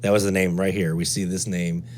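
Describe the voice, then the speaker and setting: A man with a low voice presents a casual hands-on tutorial. He thinks aloud as he works through each step. A faint hum sits behind his voice. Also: level tone, brisk, darkish tone. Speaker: presenter of a radio DXing tutorial. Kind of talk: casual screen-walkthrough tutorial.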